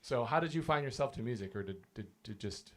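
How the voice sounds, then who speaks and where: Man, host, quick rambling questions, joking, live crowd behind. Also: medium pitch, expressive. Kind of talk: casual interview.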